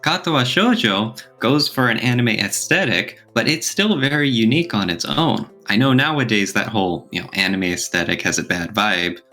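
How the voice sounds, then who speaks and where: A man's voice: fairly low pitch, normal speed, few pauses, pushed and bright. A man co-hosting a casual podcast discussion, explaining his points and posing questions as he goes. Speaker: podcast co-host. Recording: music playing behind the voice. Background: background music.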